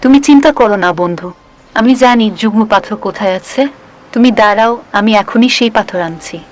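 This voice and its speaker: A woman's voice: mid-range voice, normal speed, lightly acted. Woman as a friendly, helpful talking fish. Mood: helpful, reassuring. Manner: friendly, reassuring. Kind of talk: Bengali fairy-tale cartoon voice acting.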